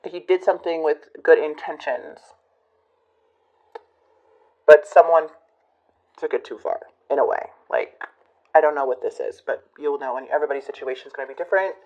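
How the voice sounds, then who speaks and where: A woman giving a casual tarot reading to her viewers, musing and hesitant, her voice a bit hoarse from a recent illness. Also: low voice, normal speed, pauses often, a little mellow, lo-fi sound. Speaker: tarot reader.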